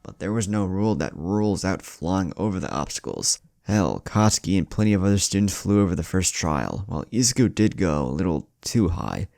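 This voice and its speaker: A man's voice: deep voice, very animated, brisk, creaky, hardly any pauses, a little mellow, dry recording, clean studio sound. A man narrating a scripted fan fiction story.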